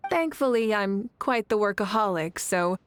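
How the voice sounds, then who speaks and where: A woman voicing a confident, composed leader in a video game, speaking in a measured, articulate and thoughtful way over music. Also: mid-range voice, lively intonation, slight background noise, natural voice. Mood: calm, reflective. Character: confident, composed warrior leader, a self-described workaholic idealist. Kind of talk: video game character dialogue.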